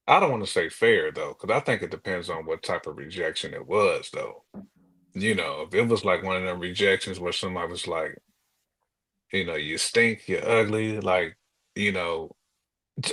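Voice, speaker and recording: A man's voice: sits low, lively intonation, moderate pace, a bit bright, slightly rough. An American man co-hosting a podcast, giving opinions in an informal, slangy, conversational way. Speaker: podcast co-host. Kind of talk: casual chat, giving opinions.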